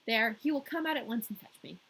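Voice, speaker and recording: A woman's voice: a little high, fast, a bit bright, breathy edge, rough recording. A woman voicing an earnest, trusting little girl in a fairy tale, pleading and eager.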